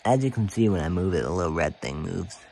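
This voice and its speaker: A man with a low voice talks tentatively and informally through a gadget demonstration, pausing as he finds his words. It is an amateur phone recording with some noise behind him. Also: animated, vocal fry, darkish tone. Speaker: radio reviewer, co-host. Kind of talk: casual hands-on gadget review. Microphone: phone filming close by.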